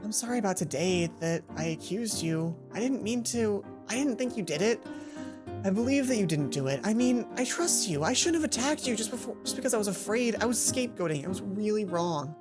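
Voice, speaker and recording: An apologetic teenage schoolgirl from a visual novel, acted by a woman, hesitant and full of feeling. Her voice sits at a middle pitch, with game music clearly audible underneath. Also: expressive, fairly quick, natural voice. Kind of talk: visual novel character reading.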